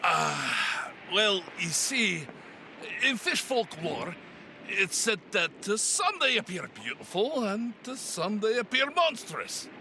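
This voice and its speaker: Bright, creaky, kindly pirate. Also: male voice, high voice, big pitch swings, slow pace, slight background noise, heavily acted. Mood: hesitant explaining. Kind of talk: audio drama acting.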